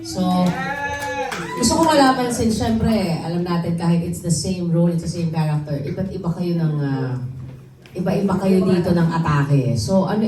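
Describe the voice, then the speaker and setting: A woman's voice: fairly low pitch, dark tone. A Filipino woman hosting a press event in a casual, chatty, informal way. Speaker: press conference host. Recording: roomy, distant mic, faint noise.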